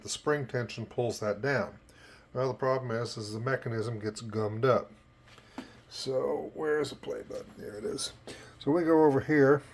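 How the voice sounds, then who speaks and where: A man's voice: mid-range voice, a little hiss or hum. Folksy man giving a repair demo. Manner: folksy, plain-spoken. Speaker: repair technician presenter. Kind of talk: informal hands-on repair demonstration. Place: indoors at a repair bench. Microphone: cell phone camera. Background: music playing from tape machine.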